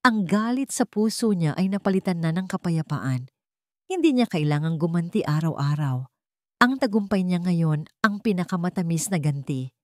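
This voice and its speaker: A woman's voice: lowish voice, expressive, normal speed, darkish tone, dry recording, clean studio sound. A woman reading a dramatic love story as an audiobook narrator.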